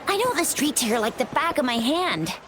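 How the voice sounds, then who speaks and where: High, spirited young woman. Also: very animated, moderate pace, noisy background. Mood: indignant, confident. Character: spirited, confident young woman companion, eager to help. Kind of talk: video game voice acting.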